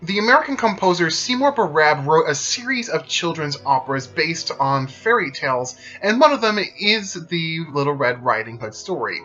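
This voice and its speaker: A man presenting a video, retelling stories in a steady explanatory way as scripted narration. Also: fairly high pitch, moderate pace, hardly any pauses, highs cut off, faint music behind.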